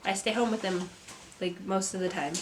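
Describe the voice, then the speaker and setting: A young woman, a mother and artist, talking to camera from a script in a soft, reflective vlog; she sounds stuffy and congested. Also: medium pitch, steady tone, moderate pace, a little hiss or hum, low-quality recording. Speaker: vlogger, artist. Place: home. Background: kids playing.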